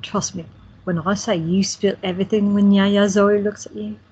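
A woman's voice: mid-range voice, fairly even intonation, normal speed, a little mellow, breathy edge, highs cut off. A woman playing a teasing, affectionate young woman in an audio drama. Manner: playful, teasing.

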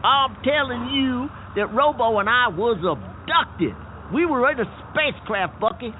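A man's voice: extremely high pitch, expressive, measured pace. A man voicing a frantic male bean who runs a garage, stammering and breathless, with folksy, ungrammatical talk. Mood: alarmed. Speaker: garage owner. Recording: tinny phone-like sound, faint noise.

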